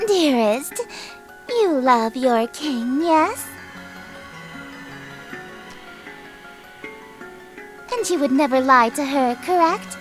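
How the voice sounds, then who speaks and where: Lady doing a creepy imperious eldritch queen for a game, high voice, talks real slow and theatrical. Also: animated, faint music behind. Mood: coldly suspicious. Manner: imperious, formal, theatrical, deliberate. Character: haughty, menacing eldritch queen who calls herself king. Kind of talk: visual novel game voice acting.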